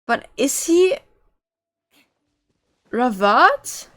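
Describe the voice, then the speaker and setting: A woman's voice: sits high, very animated, very slow, a bit bright. A woman streaming a game, reacting casually and musing aloud in a hesitant way. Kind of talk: casual live reaction commentary.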